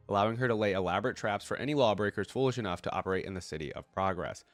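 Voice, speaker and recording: Male reaction host, lowish stuffed-up voice, conversational fan commentary and read-alouds, recorded dry. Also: no room sound. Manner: informal, conversational asides. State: congested from a cold. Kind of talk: casual fan commentary, reading text aloud.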